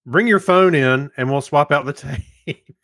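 A man's voice: on the high side, unhurried, a bit bright, dry recording. A man co-hosting a podcast, chatting and commenting casually. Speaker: podcast co-host.

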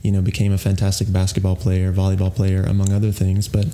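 A man hosting a podcast, introducing his guest and then interviewing her in a relaxed way, often murmuring agreement. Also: sits low, fast talker. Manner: relaxed, frequent affirming murmurs. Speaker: podcast host. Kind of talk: casual interview.

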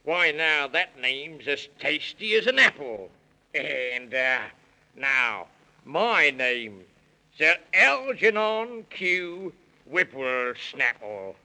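An actor playing a pompous, genial walrus gentleman with a British accent, exclaiming in rhyme in a 1930s children's radio play. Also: on the high side, very animated, drawn-out words, full character voice, poor audio. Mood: jovial. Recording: vintage 1930s radio recording.

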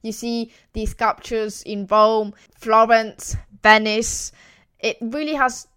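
A woman's voice: on the high side, steady tone, takes it slowly, pushed and bright, smooth. A young Italian woman with an Italian accent, hosting her podcast in a chatty, enthusiastic way and laughing between thoughts. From Italy. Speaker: podcast host. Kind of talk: casual podcast monologue. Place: home in Wales.

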